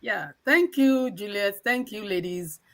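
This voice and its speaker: A woman from Nigeria co-hosting a live online talk, speaking in a measured, courteous way. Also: medium pitch, lively intonation. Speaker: co-host. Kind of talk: live stream introduction.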